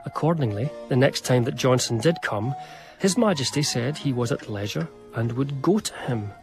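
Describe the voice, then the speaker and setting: An admiring gentleman biographer voiced by a male actor tells his story in a period radio drama. His delivery is formal, precise and literary, at a middling male pitch with faint music underneath. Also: lively intonation, moderate pace, close to an everyday voice. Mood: admiring, narrating. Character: admiring gentleman biographer recounting his great friend's life.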